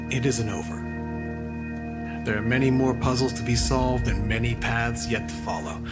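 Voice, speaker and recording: Male podcast creator for credits: mid-pitched, sincere, scripted, music clearly underneath. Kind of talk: scripted outro narration.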